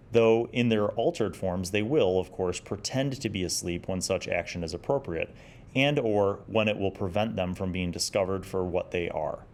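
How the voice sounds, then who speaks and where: A man in his forties hosting a casual review, talking conversationally and enthusiastically and sometimes reading passages aloud. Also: fairly low pitch. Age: 40s. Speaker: host of a gaming review series.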